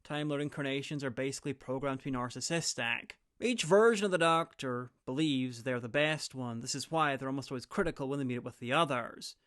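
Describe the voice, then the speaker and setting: A man's voice: mid-range voice, fairly quick, few pauses, a crowd in the background. A man giving a sarcastic, mocking recap and review of a TV episode, with the odd swear word. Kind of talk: comedic recap commentary.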